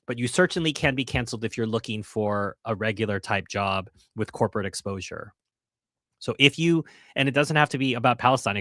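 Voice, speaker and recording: A man's voice: mid-range voice, a bit bright, no echo, studio quality. Earnest man hosting a livestream. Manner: earnest, with restarts and wry asides. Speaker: host of a livestream. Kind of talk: casual livestream commentary, reading chat.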